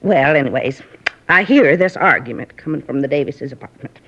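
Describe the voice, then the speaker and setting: A nosy, gossipy middle-aged neighbor, rambling and confiding, slightly breathy, old radio drama sound. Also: female voice, rumbling low, lively intonation, fairly quick, a bit bright, full character voice. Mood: gossiping. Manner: chatty, rambling, confiding, folksy grammar. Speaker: apartment neighbor questioned by police. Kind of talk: 1950s radio drama acting. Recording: dull lo-fi 1950s radio recording.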